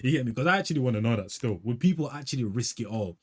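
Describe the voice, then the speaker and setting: A man's voice: mid-range voice, expressive, rapid-fire, heavy background noise. Slangy young man. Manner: slangy, musing, tags lines with "fam" and "bro". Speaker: music reaction host. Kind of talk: casual reaction commentary.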